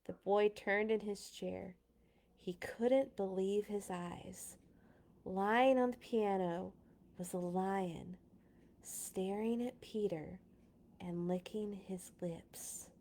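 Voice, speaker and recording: A woman narrating a children's storybook aloud in an expressive read-along style. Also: mid-range voice, slow pace, a little hiss or hum.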